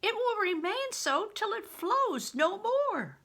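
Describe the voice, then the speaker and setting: A woman's voice: extremely high pitch, big pitch swings, slow, sharp tone, pitched above the performer's own voice, exaggerated voice. A woman voicing an earnest older widow in a storybook reading, giving urgent, pleading warnings with heavy emphasis. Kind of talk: audiobook character reading.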